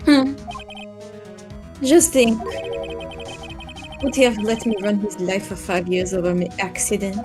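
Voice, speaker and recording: A woman playing a cold, menacing studio producer in a game dub, speaking with deliberate, cryptic condescension. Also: mid-range voice, steady tone, over music, close to an everyday voice. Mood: condescending. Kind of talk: fan live dub of a visual novel game.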